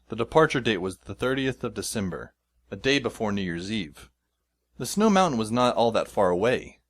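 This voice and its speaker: A man narrating a story in the first person with a dry, sardonic manner. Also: medium pitch.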